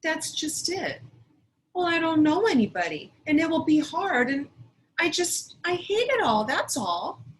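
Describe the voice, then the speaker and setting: A woman's voice: high-pitched, very animated, a little slow, a little hiss or hum, voice pushed up. A woman voicing a reluctant, anxious girl who whines and protests in a storybook read-aloud. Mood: whiny protest. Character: reluctant, grumpy, anxious person refusing school, female.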